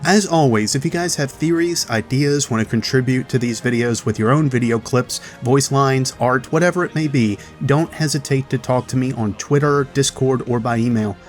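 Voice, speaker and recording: Guy doing chatty gaming video commentary, mid pitch, music playing behind him. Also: moderate pace, few pauses. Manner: chatty, explanatory. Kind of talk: game lore commentary voice-over.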